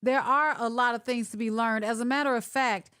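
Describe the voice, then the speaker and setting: A woman hosting a casual online interview over a video call, chatty and animated as she works through connection glitches. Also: fairly high pitch, a little fast, clear, resonant tone. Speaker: host.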